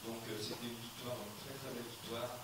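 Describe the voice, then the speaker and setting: A man's voice: mid-range voice, flat delivery, brisk. A man from southeastern France, an athlete giving a semi-formal, courteous introduction at a press conference. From Lyon area, France. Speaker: athlete, national team trail runner. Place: large echoey room at a press conference. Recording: very echoey, distant mic, heavy noise.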